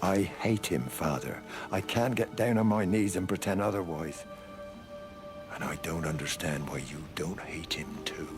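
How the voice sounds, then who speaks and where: An actor playing a gruff, plain-spoken middle-aged countryman in a video game drama, blunt and colloquial. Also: sits low, unhurried. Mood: grieving, bitter. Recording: music under the voice.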